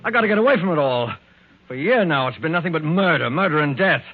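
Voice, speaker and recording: A man's voice: on the high side, animated, fast talker, over music, natural voice. Man acting a desperate, nervous man, old radio. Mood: desperate, agitated. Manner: anguished, pleading, faltering. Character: thin, nervous, bespectacled man, desperate and guilt-ridden. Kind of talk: 1940s radio mystery acting. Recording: narrow-band scratchy 1940s radio recording.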